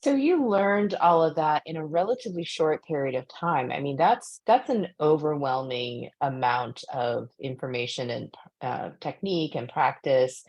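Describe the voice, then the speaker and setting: A woman's voice: deep voice, lively intonation, moderate pace, hardly any pauses, a little mellow. A woman interviewing an author at a bookstore talk, asking questions and summing up her advice in an encouraging way.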